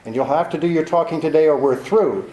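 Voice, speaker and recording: An actor playing a tough, sardonic private detective who talks bluntly in 1940s radio style. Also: male voice, fairly high pitch, fast talker, mellow, muffled recording, natural voice. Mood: hard, blunt. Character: tough hard-nosed male private detective. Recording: roomy echo.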